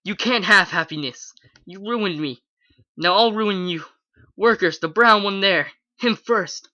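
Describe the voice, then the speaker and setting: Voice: medium pitch, moderate pace, fairly bright tone, highs cut off, close to an everyday voice. A reader voicing a villainous adult mare pegasus who gloats and rages with contempt in a fan fiction reading. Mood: raging. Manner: gloating, then screaming with contempt.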